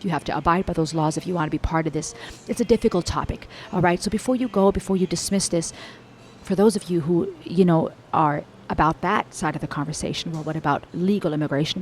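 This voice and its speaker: A woman's voice: fairly low pitch, a little hiss or hum. A young woman with an American English accent giving earnest, reflective reaction commentary to a song and speaking directly to her viewers. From Germany and the US, Cuban-American family. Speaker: music reaction YouTuber.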